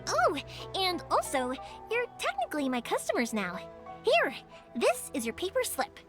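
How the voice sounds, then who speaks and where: A woman voicing a cheerful, earnest young female fireworks maker in a video game, talking warmly and thoughtfully. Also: very high-pitched, huge pitch swings, normal speed, full character voice. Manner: warm, earnest, reflective with soft laughs. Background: game music.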